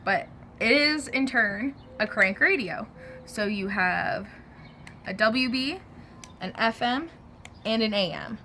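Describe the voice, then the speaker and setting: A woman's voice: a little high, animated, slow, long pauses, sharp tone. Casual woman demoing a product, some background noise. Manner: informal, chatty, self-correcting. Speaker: product reviewer. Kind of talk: casual hands-on product demo.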